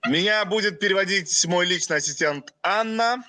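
A young man from Russia, a company president giving a webinar in short phrases and pausing for his interpreter. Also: sits high, bright, forceful tone, no echo, clean studio sound. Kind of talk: webinar presentation.

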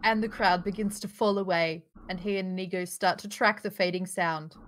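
A woman narrates a screenplay at a table read. Her pitch is middling, and she reads stage directions aloud with occasional casual asides. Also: steady tone, normal speed, fairly bright tone, rough recording. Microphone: home microphone on a group video call.

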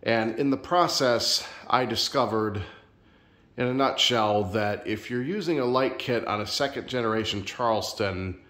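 A man filming a casual home vlog in his sunroom, a little nasal and stuffed up from a sinus infection, talking in a relaxed, rambling, friendly way. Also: medium pitch, unhurried. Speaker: ceiling fan collector vlogger. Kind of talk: casual show-and-tell vlog.

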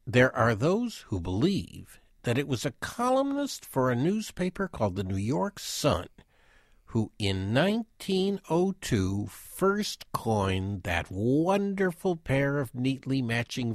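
A wry self-deprecating intellectual, slow rambly monologue, radio play, super expressive pitch. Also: male voice, no room sound, studio quality, full character voice. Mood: pedantic, amused. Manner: hesitant, digressive, chuckling asides. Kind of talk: conversational dramatic monologue.

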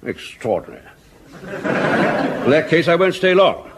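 An actor playing a brash, boastful captain in a radio sitcom. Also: male voice, high-pitched, sing-song, a little slow, very noisy. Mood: brusque. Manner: brusque, boastful. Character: brash, boastful male captain, a veteran of an earlier war. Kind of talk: 1970s radio sitcom acting.

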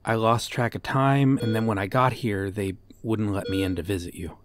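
A man's voice: lowish voice, fairly even intonation, normal speed, natural voice. Man acting an earnest, brooding, guilt-ridden detective. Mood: sorrowful, pleading. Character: guilt-ridden, devoted male detective hunting a serial killer. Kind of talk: dramatic ASMR audio roleplay.

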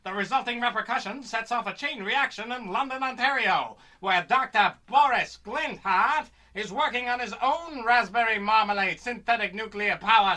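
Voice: mid-range voice, bright, forceful tone, natural voice. A performer playing a bombastic, melodramatic narrator in an old-time radio serial parody. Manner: melodramatic, grandiose.

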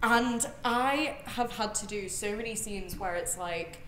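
A young British woman chatting casually as an interview guest, telling stories in an animated, joking way with plenty of "like". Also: mid-range voice, measured pace, reverberant, slight background noise, low-quality recording. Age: young adult. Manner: animated storytelling, frequent "like". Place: large bright indoor studio room, on a sofa. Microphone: handheld microphone.

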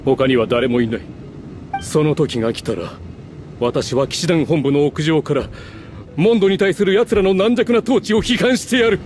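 An actor playing a proud, scheming aristocrat in an anime video game, speaking in a haughty, theatrical, declaiming way. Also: male voice, fairly high pitch, moderate pace, a little mellow, slight background noise, lightly acted. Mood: grandiose. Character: pompous scheming aristocrat, proud adult man. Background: game music.

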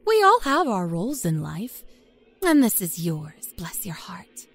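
A woman's voice: lowish voice, huge pitch swings, a little slow, creaky, soft background music, pitched below the performer's own voice, heavily acted. A woman playing a smug, sly middle-aged small-town fake psychic, telling her horror story in a folksy, confiding, taunting manner. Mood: calm menace. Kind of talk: dramatic first-person horror story narration.

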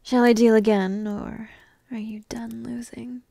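A woman voicing a petite, playful, secretly affectionate dragon princess in a soft, breathy ASMR roleplay, teasing slowly and often slipping into whispers close to the mic. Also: on the high side, flat delivery, no room sound. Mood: teasing, secretive. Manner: slow, teasing, intimate delivery with pauses. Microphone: close microphone in a dry room.

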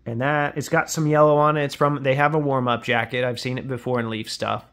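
A man's voice: medium pitch, flat delivery, brisk, a bit bright. A man hosting in a casual, conversational way, explaining items as he shows them in an unboxing. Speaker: podcast host. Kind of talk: casual unboxing commentary on sports cards. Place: indoors at a tabletop, hands-only camera.

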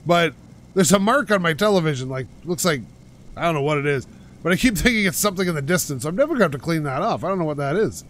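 Man, gaming commentary, slightly high voice, pushed-out and loud, rambling, self-mocking, home mic. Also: lively intonation, quick pace. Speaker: gaming channel host. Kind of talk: casual let's-play commentary. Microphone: home microphone while playing on a couch.

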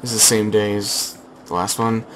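A young man casually chatting over his gameplay through a headset, slightly hoarse, rambling with fillers and restarts. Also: fairly low pitch, little pitch movement, moderate pace, a bit bright, a little hiss or hum, poor audio. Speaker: gaming channel host.

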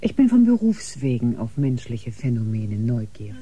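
An actress in a German science fiction radio drama playing a cool, curious scientist and ship's doctor, composed and probing. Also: female voice, very deep voice, big pitch swings, moderate pace, warm tone. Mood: cool curiosity. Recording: music behind the voice. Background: music.

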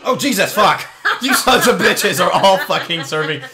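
A man's voice: high-pitched, lively intonation, normal speed, sharp tone. A man hosting a casual let's play, joking crudely and swearing his way through the game commentary. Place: hot indoor room.

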